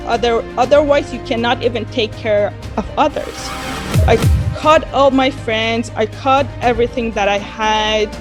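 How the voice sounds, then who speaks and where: Woman, 30s, earnest, repeats words, slightly high, faint background music. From Iran, grew up in Dubai. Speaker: guest, company founder. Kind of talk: casual interview.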